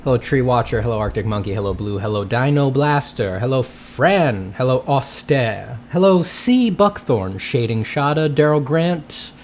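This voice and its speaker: A man's voice: mid-range voice, expressive, normal speed, hardly any pauses, slight background noise. A congested man hosting a casual livestream, rambling and greeting his chat between coughs. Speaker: livestream host, artist. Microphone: streaming setup mic.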